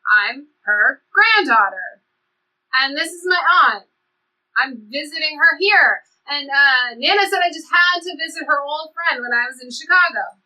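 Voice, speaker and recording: A woman as a bright, high-voiced headstrong teen girl. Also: animated, a little slow, heavily acted, low-quality recording. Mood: eager, curious. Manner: blunt, impulsive teenage speech. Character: headstrong, blunt seventeen-year-old girl time traveler. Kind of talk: stage play reading.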